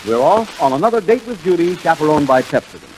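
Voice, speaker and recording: A man's voice: fairly high pitch, expressive, fast talker, loud music behind the voice, rough recording. A man announcing a commercial in 1940s radio style, upbeat and emphatic, on an old hissy recording.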